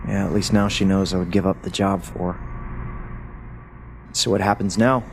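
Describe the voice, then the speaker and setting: A man's voice: deep voice, steady tone, a little slow, gravelly, a little hiss or hum. A man acting a loving, wistful and frightened husband in an audio play, speaking in a sentimental, hesitant way. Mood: wistful, resigned. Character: recently deceased loving husband, tender, wistful, scared.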